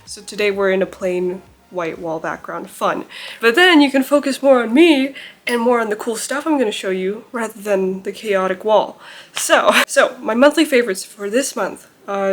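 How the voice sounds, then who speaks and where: A young woman vlogging casually to camera at home, chatty and bubbly with lots of laughs and fillers, her voice a little off from a recent illness. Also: mid-range voice. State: recovering from illness, slightly off voice. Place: indoors at home by a plain wall.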